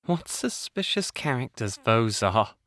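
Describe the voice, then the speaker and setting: A man's voice: mid-range voice, big pitch swings, a little slow, a bit bright, no room sound. An actor playing a naive young provincial nobleman in an audio play, prissy, anxious and haughty. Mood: alarmed.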